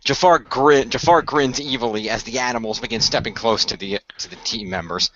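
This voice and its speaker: A man narrating an amateur radio play in a halting way, often repeating the start of his phrases. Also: medium pitch, pushed and bright, dull audio, a little hiss or hum.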